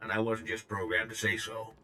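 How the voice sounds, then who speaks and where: A man's voice: fairly low pitch, completely flat delivery, fairly quick, sharp tone, a little hiss or hum. A man playing a brash, slangy reprogrammed droid in a video game, talking bluntly and informally with a robotic edge. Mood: brash, urgent. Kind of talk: video game character dialogue.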